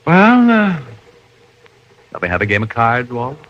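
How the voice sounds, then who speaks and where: An actor playing a wry, brooding private detective in mid-century radio drama, bantering in a mock-aggrieved way. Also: male voice, medium pitch, wildly swooping pitch, unhurried, background noise. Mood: wry, sheepish. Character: wry, brooding male private detective, flirtatious, easily embarrassed. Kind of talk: 1940s-50s radio detective drama acting. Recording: muffled old radio recording.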